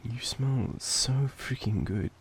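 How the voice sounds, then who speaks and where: An Australian man with a cold speaks in a soft, halting, affectionate way, as in an intimate boyfriend roleplay. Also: mid-range voice. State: sick with a cold. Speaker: audio roleplay performer playing an affectionate sick boyfriend. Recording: background noise of rain. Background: rain ambience.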